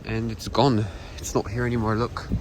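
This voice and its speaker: A man's voice: fairly low pitch, level tone, moderate pace. Young Polish man vlogging outdoors. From Poland. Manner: rambling, self-correcting. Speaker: travel vlogger. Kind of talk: casual walking tour. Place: outdoor town square. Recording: outdoor street noise.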